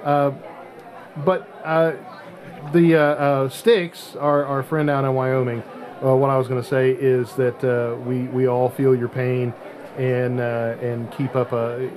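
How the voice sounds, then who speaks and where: A middle-aged man with a medium-pitched voice hosts a casual podcast. He talks in a halting way, feeling for the next word, with clear background chatter around him. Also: level tone, darkish tone. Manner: halting, searching for words. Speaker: podcast host.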